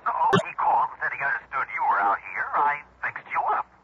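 A man's voice: medium pitch, quick pace, breathy edge. An American man playing a chummy, coy insurance man making a call in a 1960s radio drama. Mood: jovial. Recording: muffled old radio, faint noise.

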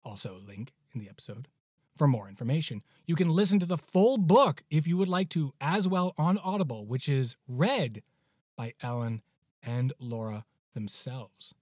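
A man's voice: medium pitch, animated, normal speed, a little mellow, husky, dry recording, clean studio sound. A man hosting a podcast, giving an enthusiastic introduction in an effusive, emphatic way. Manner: effusive, emphatic with repeated words. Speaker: podcast host.

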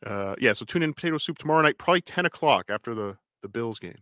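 Bright-voiced blunt male co-host. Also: mid-range voice, lively intonation, fast, no echo, studio quality. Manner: blunt, swears casually. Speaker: livestream co-host and channel creator. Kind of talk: casual chat and self-promotion.

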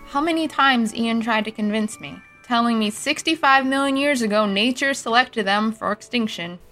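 A woman's voice: a little high, normal speed, sharp tone, faint music behind. An actress playing a skeptical, principled female doctor in an audio drama, questioning and challenging. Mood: disapproving, challenging.

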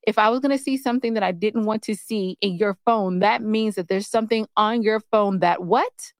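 A woman's voice: mid-range voice, normal speed, no echo. Insistent woman in casual banter, dry sound. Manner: insistent, challenging, repeats herself. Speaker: podcast co-host.

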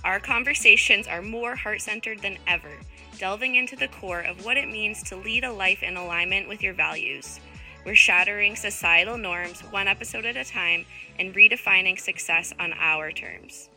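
A woman hosting a wellness podcast, reading an upbeat scripted intro and guiding slow breathing. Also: mid-range voice, faint music behind. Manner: upbeat, slows to a calm guiding pace. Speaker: podcast host.

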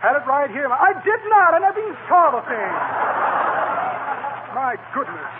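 Blustery pompous swindler, really high voice, wordy, old radio comedy, tinny noisy sound. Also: male voice, sing-song, unhurried, exaggerated voice. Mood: breezy bluffing. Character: pompous, shifty male con man and petty crook. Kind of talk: 1940s radio comedy acting.

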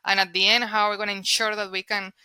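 Colombian-accented woman guest, Spanish-first speaker, bright and clear tone, emphatic, dry close mic. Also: medium pitch, level tone, quick pace, no echo. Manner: emphatic, repeats words while restarting. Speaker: guest, data and analytics leader. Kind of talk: conversational interview.

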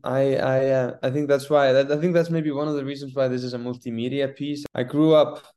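Young man from Iceland, theater guest, speaks in starts and restarts, middle-pitched voice. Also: steady tone, mellow, highs cut off. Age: 20s. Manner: thoughtful, halting, many restarts and repeated words. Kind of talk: casual interview.

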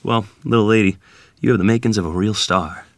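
Man acting a low-voiced, genial 1920s drama man. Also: a little fast, no room sound, clean studio sound, lightly acted. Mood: courteous, admiring. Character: genial jazzman or wry rural ferryman father. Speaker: actor at a screenplay table read. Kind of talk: dramatic script reading.

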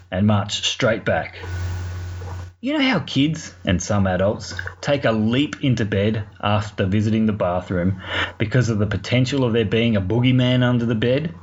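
A man with an Australian accent telling a story informally for a podcast, in a colloquial, sweary, wry way. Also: fairly low pitch. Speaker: podcast segment contributor. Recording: muffled lo-fi recording, clear background noise.

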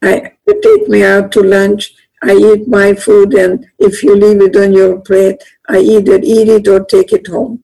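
A woman's voice: mid-range voice, level tone, fairly quick, gravelly, hardly any pauses, mellow, rough recording. An older woman from Hungary, a guest author speaking in an earnest, plainspoken way with slightly phrasing. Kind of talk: conversational interview.

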